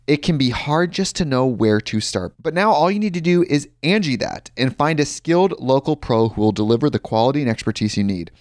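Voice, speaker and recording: A man's voice: medium pitch, animated, fairly quick, few pauses, no echo, studio quality. A man reading a sponsor ad in an upbeat, conversational way with personal asides. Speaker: sponsor ad reader. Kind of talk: host-read ad.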